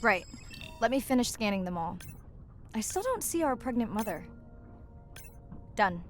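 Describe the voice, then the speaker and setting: A woman playing a warm, earnest and playful young diver and ocean researcher in a naturalistic video game performance. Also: on the high side, big pitch swings, measured pace, faint music behind. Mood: excited.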